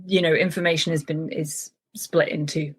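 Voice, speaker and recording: A woman's voice: low voice, level tone, normal speed, husky. A woman novelist talking about her writing in a casual interview, thoughtful and full of small restarts. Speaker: novelist guest.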